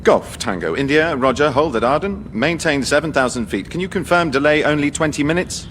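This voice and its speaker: A man's voice: on the high side, lively intonation, a little fast, fairly bright tone, slight background noise. A man playing an officious, prickly English airline captain in a radio sitcom, clipped and insecure about his authority. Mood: brisk, sarcastic.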